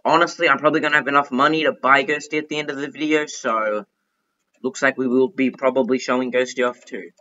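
A young man giving chatty, excitable commentary while playing a game, thinking out loud as he goes. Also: mid-range voice, quick pace, lo-fi sound. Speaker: gaming youtuber. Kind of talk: casual gameplay commentary.

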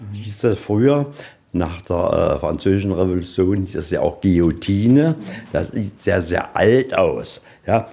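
Low, mellow man guiding a tour. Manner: plain-spoken, explanatory, poses questions and answers them. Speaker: museum tour guide. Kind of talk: informal guided-tour explanation. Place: indoors, museum anteroom.

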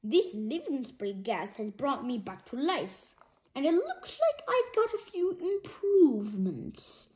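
A woman's voice: high-pitched, very animated, slow pace, darkish tone, voice pushed down, full character voice, low-quality recording. A woman voicing a gleeful cyborg fish villain in a children's comic, grandiose and commanding. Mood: gloating. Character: gleeful revived cyborg fish supervillain plotting revenge.

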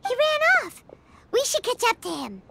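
An actress voicing a tiny, chirpy, squeaky floating fairy companion in a video game. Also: female voice, wildly swooping pitch, normal speed, creaky, very noisy, heavily acted. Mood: indignant.

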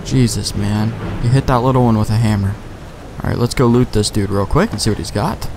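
A man's voice: on the low side, a little fast, noisy background. A man giving casual, sweary let's play commentary, thinking aloud in a laid-back way. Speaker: gamer, let's play host.

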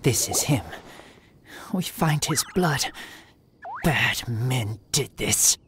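A man playing a terse, earnest teenage boy raised by wolves in a fantasy video game, speaking in halting broken sentences. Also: mid-range voice, expressive, noisy background. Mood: somber.

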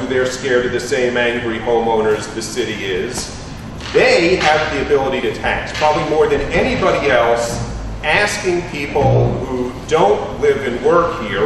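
A man in his thirties talks through his points on a panel, wry and analytical, with lots of fillers. He sounds far from the mic in a very echoey room with noticeable background noise. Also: medium pitch. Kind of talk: panel discussion talk. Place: large room with panel table.

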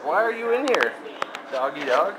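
A man's voice: fairly high pitch, sing-song, normal speed. A man in casual chat, recorded on a handheld camera. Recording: very noisy handheld camera audio, background voices.

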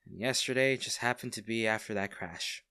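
A man playing a smug, teasing villain with hidden fondness for a captive hero, in an intimate audio roleplay, coy and full of drawn-out pauses. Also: fairly low pitch, bright, forceful tone, smooth, dry recording. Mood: casual, coy.

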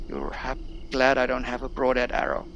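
A man's voice: medium pitch, expressive, moderate pace, vocal fry, a bit bright, lo-fi sound. A young man who is not a native English speaker, reading tutorial text aloud and chatting casually while playing a game. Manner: reads on-screen tutorial text aloud, then chats. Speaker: gameplay streamer. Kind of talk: casual let's-play commentary.

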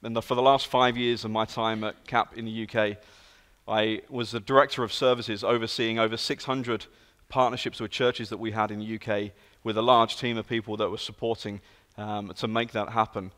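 British guest preacher, 30s, London accent, earnest storyteller, echoing church hall. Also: male voice, lowish voice, normal speed. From England. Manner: earnest, storytelling, lively emphasis. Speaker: guest speaker. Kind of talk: sermon. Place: large church sanctuary. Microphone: handheld and stage mic. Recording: long hall reverb.

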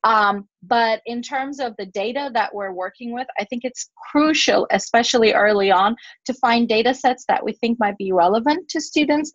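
A woman's voice: on the high side, dry recording, lo-fi sound. A woman whose first language is Turkish, giving a university teaching talk over a video call and answering questions, explaining with fillers and restarts. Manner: explanatory, fillers and self-corrections. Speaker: guest speaker, professor. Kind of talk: webinar talk and Q&A.

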